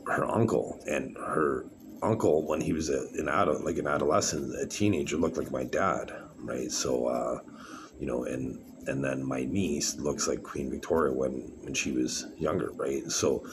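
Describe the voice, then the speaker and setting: A man with a very deep voice, talking in a halting, rambling monologue full of fillers and restarts. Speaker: vlogger. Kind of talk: rambling solo monologue to camera. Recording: clear background noise.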